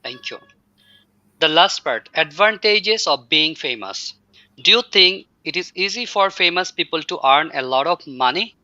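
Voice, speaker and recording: Bright, tense-voiced host on a lo-fi line. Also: male voice, a little high, animated, measured pace, no room sound. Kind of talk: interviewer asking questions.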